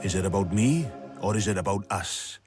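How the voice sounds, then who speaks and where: An actor playing a wry, worldly narrator in a radio drama, with a husky voice and sardonic, rhetorical delivery. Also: male voice, low-pitched, moderate pace, darkish tone, soft background music, close to an everyday voice. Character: wry, worldly, philosophising male storyteller.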